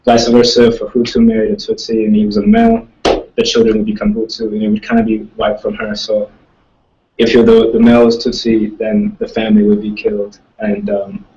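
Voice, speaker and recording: A man's voice: on the low side, animated, a little fast, dark tone, far from the mic, lo-fi sound, a little hiss or hum. A young man, a student, answering audience questions in casual talk, thoughtful and rambling, with many restarts. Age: young adult. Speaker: student speaker.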